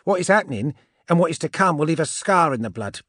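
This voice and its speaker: Man voicing a cool, high-pitched mocking witch woman. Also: lively intonation, quick pace, a bit bright, dry recording, clean studio sound. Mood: solemn, reflective. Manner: wry, portentous, sure of herself.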